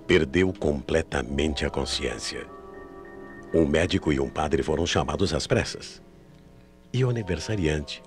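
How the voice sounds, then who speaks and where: A man narrating a radio mystery play in a grave, measured, dramatic storytelling manner. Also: extremely low pitch. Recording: AM radio drama with loud music behind.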